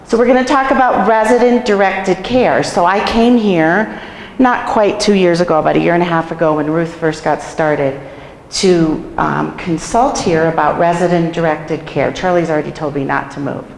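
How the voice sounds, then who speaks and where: A hoarse woman with a cold, a nursing home administrator, giving a chatty staff training and asking the room questions. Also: on the low side, slight background noise. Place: meeting room in a care home. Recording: echoey room with long reverb.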